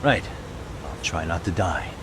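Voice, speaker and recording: A man's voice: low-pitched, lively intonation, unhurried, background noise. An actor playing a cool, determined male detective in a video game, talking in a terse, casual, unfazed way. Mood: focused. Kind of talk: English video game voice acting.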